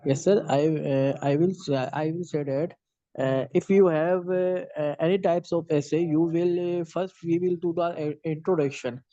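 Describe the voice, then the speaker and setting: A hesitant male student asks about his essays in halting phrases. His voice is mellow and slightly higher, heard over a dull, dry video call. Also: steady tone, no echo.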